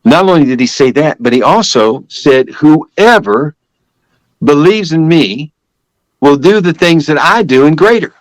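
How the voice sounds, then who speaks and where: A man's voice: fairly high pitch, expressive, a little slow, dry recording, low-quality recording. An older man giving a personal religious testimony as a guest, telling it in an emphatic, repetitive, conversational way.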